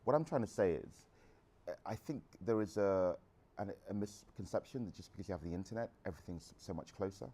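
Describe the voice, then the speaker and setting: A man's voice: low-pitched, fairly quick, dark tone, husky. A man in his thirties from England, a guest entrepreneur answering audience questions in a frank, rambling way with restarts. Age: 30s.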